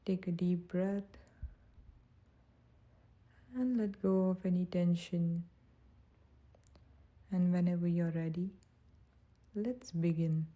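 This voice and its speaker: A woman narrates a guided meditation in a very mellow, soft and breathy voice. Her pitch sits a little low and stays quite even. She speaks gently and slowly, with a soothing, unhurried manner.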